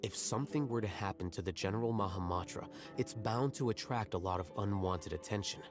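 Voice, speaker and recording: A man voicing a young scholar from a video game, calm and analytical. His voice sits low, and he lays out each point in measured, articulate steps, with music playing faintly behind him. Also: natural voice. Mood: composed, explanatory. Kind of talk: scripted video game dialogue.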